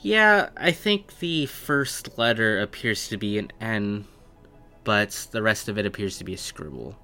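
Slow, low-pitched man running a tabletop game. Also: expressive, bright, forceful tone. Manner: halting, piecing descriptions together phrase by phrase. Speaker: game master. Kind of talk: improvised narration of a tabletop roleplaying game. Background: background music.

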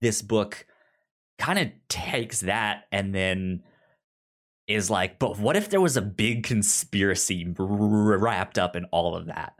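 A man with a low voice talks through his thoughts at an unhurried pace in a casual book chat. His speech is halting, and he often stammers on the start of a word or repeats it before moving on. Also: lively intonation, a bit bright. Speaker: podcast co-host.

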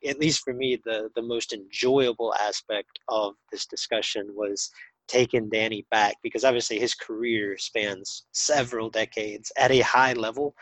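A man's voice: on the low side, no room sound, low-quality recording. Podcast host man with a cold-worn voice. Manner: casual, many fillers and restarts. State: recovering from a cold, near losing voice. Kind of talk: podcast interview.

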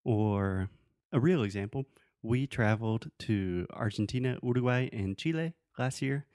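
A man co-hosting a podcast, teaching English phrases in a relaxed, teasing way, with patient examples. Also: mid-range voice, measured pace, no echo, clean studio sound. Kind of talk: casual teaching chat.

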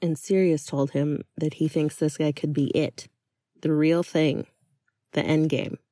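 A woman voicing an excitable, affectionate, chatty friend in a fiction reading, animated and emphatic. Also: sits low, a little slow, no room sound, clean studio sound, pitched below the performer's own voice. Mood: hushed, confiding. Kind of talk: acted dialogue in a fiction reading.

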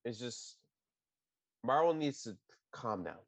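A man's voice: mid-range voice, big pitch swings, slow pace, gravelly, dry recording. A man co-hosting a casual podcast, opinionated and rambling, often restarting sentences. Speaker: podcast co-host.

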